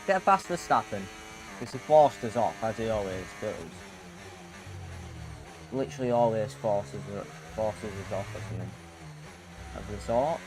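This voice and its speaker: A man's voice: fairly low pitch, animated, unhurried, pauses often. Male gamer with noisy racing audio. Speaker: video game player. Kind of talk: casual muttered gameplay remarks. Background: racing game engine noise.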